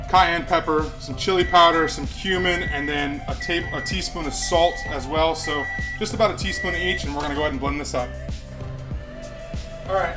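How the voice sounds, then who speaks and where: A relaxed, joking man in his thirties talks through a cooking vlog in a large home kitchen. His voice sits a bit high for a man and reaches the camera from across the room, with a roomy echo. Music plays clearly behind him. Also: far from the mic. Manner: relaxed, jokey, chatty. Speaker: cooking vlogger. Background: background music.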